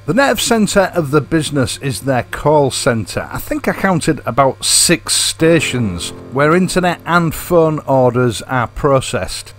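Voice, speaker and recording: A man narrates in a chatty, prepared voice-over style. His pitch moves up and down in a lively way around a middling register. Some music plays behind him as he goes. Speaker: host and narrator of a motorcycle channel. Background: background music.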